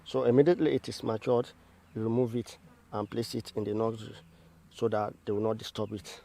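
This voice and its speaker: A man's voice: fairly low pitch, level tone, fairly quick, vocal fry, dark tone. A man giving step-by-step instruction as an agricultural trainer, in methodical, formal wording. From Sierra Leone.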